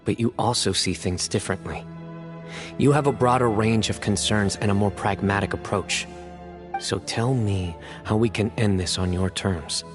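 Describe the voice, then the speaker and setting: An actor playing a stern, resolute warrior judge in a video game, speaking bluntly and deliberately. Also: male voice, on the low side, music in the background, lightly acted. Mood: earnest, insistent.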